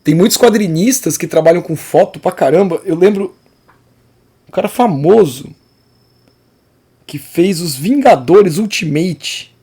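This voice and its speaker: A man's voice: high-pitched, lively intonation, measured pace, long pauses, dark tone, breathy edge. A man teaching an art class, talking casually and rambling a little, with slang and restarts. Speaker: art teacher giving feedback. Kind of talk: casual spoken art lesson.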